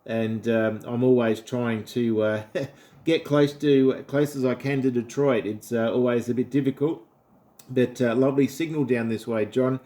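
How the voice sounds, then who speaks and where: A man's voice: medium pitch, steady tone, normal speed, mellow. A man with an Australian accent chatting casually as an amateur radio operator, friendly and complimentary in manner. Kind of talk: casual ham radio conversation.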